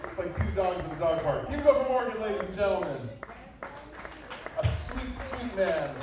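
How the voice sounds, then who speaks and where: A man hosting an open mic in a bar, loudly and playfully introducing comedians. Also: sits high, expressive, moderate pace, loud music behind the voice, over a noisy crowd. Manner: loud, playful hyping of comedians. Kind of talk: emcee introductions. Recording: echoey bar from a distance, loud room noise.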